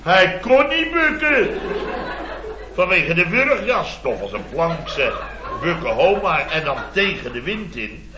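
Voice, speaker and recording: A man's voice: sits high, sing-song, takes it slowly, background noise, exaggerated voice. A Dutch man acting a blustering, self-important building-firm boss in a radio comedy, rambling indignantly. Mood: aggrieved. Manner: indignant, rambling storytelling with tag words. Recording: dull, echoey vintage radio recording with audience.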